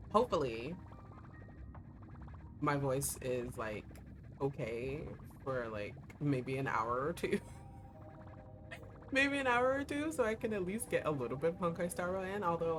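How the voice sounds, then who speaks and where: A young woman streaming a game, her voice hoarse and giving out, chatting in a rambling way with lots of fillers. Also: very deep voice, big pitch swings, moderate pace, long pauses, background noise. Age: young adult. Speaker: VTuber streamer. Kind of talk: casual livestream chat while playing a gacha game. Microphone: desk streaming mic. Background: game music.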